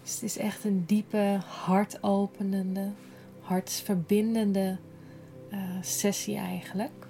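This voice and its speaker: Slow, mellow woman guiding meditation. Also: medium pitch, level tone, soft background music. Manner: soothing, unhurried, gentle, breathy at times. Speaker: yoga nidra guide. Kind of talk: slow guided relaxation meditation.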